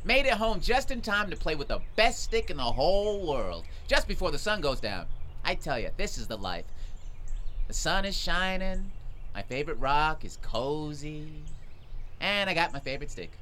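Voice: medium pitch, big pitch swings, moderate pace, pushed and bright, a little hiss or hum. An actor playing a cheerful, easily flustered young lizard in chatty, exclamatory children's audio theater.

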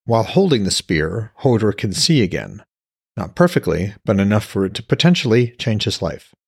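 A man reading scripted fiction as narrator and host, with a husky voice. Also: on the low side, very animated, moderate pace, no room sound, studio quality. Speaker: podcast host, author reading his own novel.

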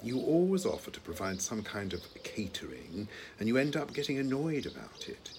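A man's voice: on the low side, huge pitch swings, moderate pace. A man playing an exasperated, long-suffering husband in an audio play, weary and reproachful. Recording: clear noise with birdsong.

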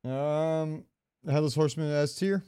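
Smooth-voiced man in casual gaming chat. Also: a little high, a little slow, warm tone. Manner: slangy, profane. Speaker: podcast co-host.

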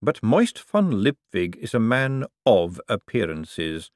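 A man voicing a cool, calculating older ruler who speaks dry, precise and deliberate in an audiobook. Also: fairly low pitch, big pitch swings, no room sound. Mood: dry, knowing.

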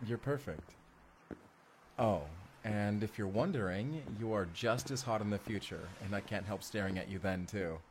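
Guy doing a sweet teasing husband for audio roleplay, lowish voice, bit of background noise. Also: moderate pace, lightly acted. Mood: tender, teasing. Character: affectionate, teasing young husband from the future. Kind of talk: intimate first-person audio roleplay.

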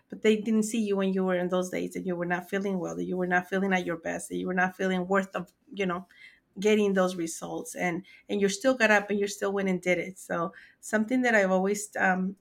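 A woman's voice: mid-range voice, a bit bright. A woman hosting an interview podcast, speaking in an earnest, encouraging way. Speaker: podcast host.

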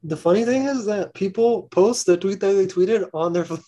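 A young man, a college student, chatting as a podcast guest, eager and quick to jump in. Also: high voice, warm tone, muffled recording. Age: young adult. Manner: quick, eager, jumps in over the host. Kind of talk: casual podcast chat.

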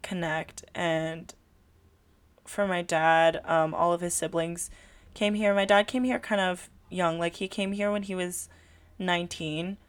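Young woman co-host, slightly low voice, rambling casual chat, lots of fillers. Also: fairly even intonation, measured pace, clear, resonant tone. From California, USA. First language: Tagalog. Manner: chatty, rambling, full of "like" and laughter. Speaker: podcast co-host.

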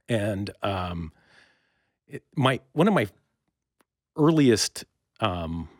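Low-voiced man hosting a casual interview. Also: dry recording, studio quality. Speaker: podcast host, interviewer.

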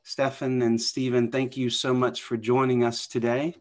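A man's voice: mid-range voice, level tone, normal speed. A man hosting an interview podcast, upbeat and affirming, introducing guests and asking questions. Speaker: podcast host.

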